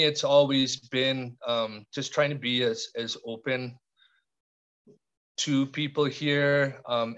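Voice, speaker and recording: A middle-aged man from Canada, a podcast guest speaking in a reflective, halting way with frequent restarts and fillers. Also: medium pitch, a bit bright, dull audio. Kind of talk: reflective conversational interview.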